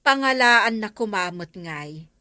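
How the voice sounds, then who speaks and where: A woman's voice: mid-range voice, expressive, takes it slowly, sharp tone, dry recording, clean studio sound. A woman playing a nagging, practical village woman in an Ilocano comedy drama, scolding with an Ilocano accent. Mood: wary, questioning.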